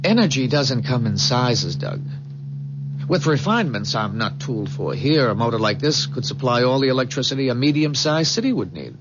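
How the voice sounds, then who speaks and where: Actor as idealistic male inventor, lively swinging pitch, muffled vintage radio sound, formal and earnest, slightly stammering. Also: moderate pace, noisy background, close to an everyday voice. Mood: proud. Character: earnest, idealistic male inventor, proud of his revolutionary engine. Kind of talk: 1970s radio drama acting. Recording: dull, muffled 1970s radio broadcast recording.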